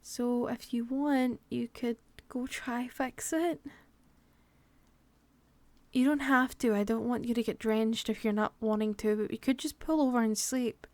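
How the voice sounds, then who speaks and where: A woman's voice: on the high side, fairly even intonation, a little slow, a little mellow, dry recording. A woman acting a frightened young woman stranded with her partner in an improvised horror roleplay, sighing, swearing and sometimes dropping into a whisper. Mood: uneasy, subdued. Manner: breathy sighs, drops into whispers, sweary. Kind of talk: improvised ASMR audio roleplay.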